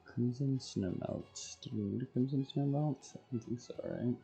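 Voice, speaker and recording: A man's voice: mid-range voice, moderate pace, vocal fry, mellow, slightly rough, faint music behind. A man livestreaming a game, casually thinking aloud in short, absent-minded fragments as he plays. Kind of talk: casual chat while playing, muttering to self.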